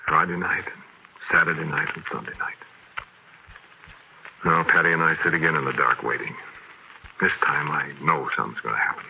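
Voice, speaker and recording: An actor playing an uneasy, skeptical man who narrates his haunting haltingly in a 1940s radio horror drama, on a noisy old recording. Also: very deep voice, steady tone, vocal fry, muffled recording. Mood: tense, weary. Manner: hesitant, brooding, halting.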